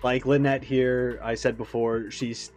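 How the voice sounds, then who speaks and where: A man's voice: medium pitch, flat delivery, a little slow, darkish tone. Man giving casual commentary over faint music. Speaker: reaction video host. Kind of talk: casual off-the-cuff reaction commentary.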